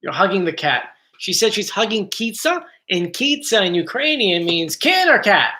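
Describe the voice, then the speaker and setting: A man's voice: sits high, big pitch swings, normal speed, sharp tone. A man hosting a casual live stream from home, chatty and joking, easily drifting off topic. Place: home, bedroom area. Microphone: computer mic.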